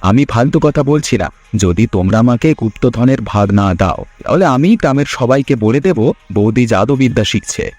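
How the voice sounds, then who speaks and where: Greedy village schemer played by a man, quick, insistent, needling, cartoon delivery over soft music. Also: medium pitch, expressive, no room sound, lightly acted. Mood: threatening. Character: greedy, pushy younger village schemer demanding his share. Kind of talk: cartoon fairy tale voice acting.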